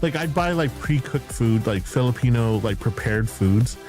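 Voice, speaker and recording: A man streaming as a VTuber, chatting casually and candidly, swearing freely and filling pauses with "like" and "um". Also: medium pitch, lively intonation. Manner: rambling, candid, swears casually, frequent fillers and laughs. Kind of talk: casual stream chat answering viewer questions. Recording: music playing behind.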